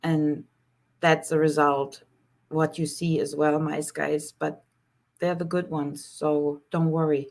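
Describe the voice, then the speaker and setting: A woman with a German accent hosts a relaxed live chat, answering viewers' questions and greeting them by name. She speaks slowly, with many pauses and fillers, in a fairly low voice. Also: creaky. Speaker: live stream host, spiritual healer. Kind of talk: casual live Q&A, reading chat comments.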